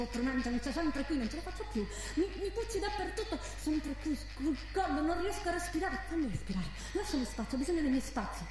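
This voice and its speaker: An actress playing an exasperated woman in a theatrical monologue, agitated and repeating herself as she stumbles over her words. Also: sits high. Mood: exasperated, pleading. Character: exasperated woman breaking up with an oppressive petrochemical plant. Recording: very echoey stage recording with music. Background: live music.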